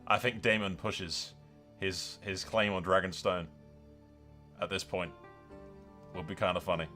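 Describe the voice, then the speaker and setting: Lowish voiced guy doing gameplay commentary, sweary and hyped, sharp forceful tone, music under it. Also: unhurried. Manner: sweary, excitable, banter-like. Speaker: host of a gaming channel. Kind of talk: playthrough commentary with in-character narration.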